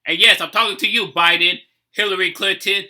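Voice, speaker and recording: High-pitched, forceful young man. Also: steady tone, a little fast. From United States. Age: 30s. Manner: repetitive, outspoken, frequent swearing. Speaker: reaction video host. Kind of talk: casual, impassioned commentary.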